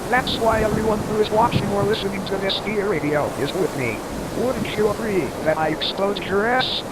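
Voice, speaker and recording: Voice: mid-range voice, lightly acted. A performer voicing a foul-mouthed, hot-tempered storm reporter in an amateur audio drama, taunting and melodramatic. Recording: loud rushing wind and surf noise.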